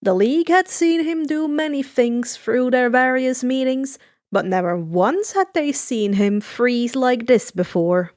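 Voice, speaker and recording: A woman's voice: sits high, animated, unhurried, darkish tone. A woman narrating fan fiction from a script as a storyteller.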